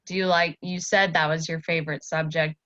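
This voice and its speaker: Bright-voiced woman teacher on a video call. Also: low voice, level tone, a little fast. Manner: encouraging, echoes the answers back. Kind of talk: casual one-on-one lesson with a child. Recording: narrow-band video call sound, faint noise.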